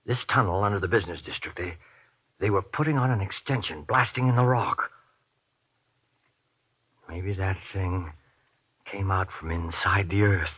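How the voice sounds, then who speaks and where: Husky, frightened man in radio horror. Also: sits low. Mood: hushed, uneasy. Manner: stammering, halting, insistent questioning. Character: frightened, agitated man trapped underground by a monster. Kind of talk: old-time radio horror acting. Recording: dull, muffled old radio recording.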